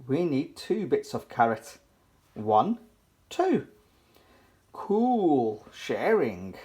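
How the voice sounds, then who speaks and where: A children's book reader giving an animated, playful, sing-song read-aloud for toddlers. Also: mid-range voice, drawn-out words.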